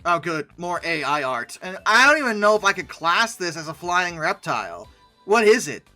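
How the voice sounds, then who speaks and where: Animated, bright-voiced commentator, theatrically exasperated. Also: mid-range voice, normal speed. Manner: theatrical, exasperated, sardonic, with repetition for emphasis. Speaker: host of a paleoart commentary video. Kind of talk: humorous reaction commentary, part ranting. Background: background music.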